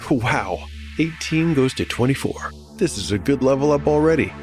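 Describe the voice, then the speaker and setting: A man's voice: medium pitch, lively intonation, normal speed, loud music behind the voice. A man working as solo game master, narrating a scripted fantasy audio drama and commenting on the game.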